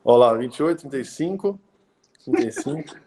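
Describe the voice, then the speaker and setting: Thirtyish pastor. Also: male voice, medium pitch, animated, brisk, dark tone. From Brazil, interior of São Paulo state. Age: 30s. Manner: conversational, many hesitation fillers and tag questions. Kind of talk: casual live-stream talk.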